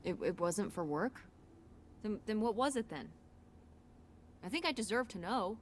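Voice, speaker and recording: A woman's voice: mid-range voice, animated, normal speed, slight background noise, close to an everyday voice. A woman playing an earnest, pushy teenage daughter in a naturalistic video game drama, questioning in a blunt, plaintive way. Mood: accusing, hurt.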